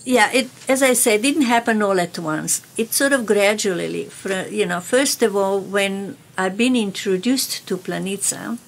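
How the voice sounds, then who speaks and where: Older Slovenian-accented woman, slow and gravelly. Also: medium pitch, poor audio. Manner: slow, halting speech with many fillers and restarts, gestures with hands. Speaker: interviewee, community radio broadcaster. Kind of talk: casual reminiscing interview. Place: small radio studio, indoors.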